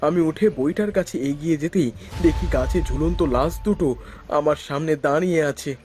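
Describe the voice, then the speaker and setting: High-voiced man narrating horror. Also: fairly quick, darkish tone, soft background music. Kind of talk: scripted first-person horror story narration.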